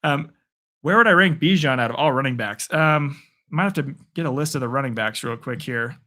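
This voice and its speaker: A young man calling play-by-play for a live stream, excitable and chatty, answering chat, his voice getting worn. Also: medium pitch, a little fast. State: losing his voice. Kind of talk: casual live play-by-play commentary.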